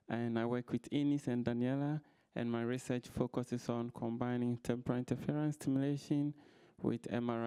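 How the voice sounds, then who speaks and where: A man with a smooth, mellow voice gives a concise and formal account of his doctoral research. He ends his short conference introduction with thanks. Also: mid-range voice. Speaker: PhD student.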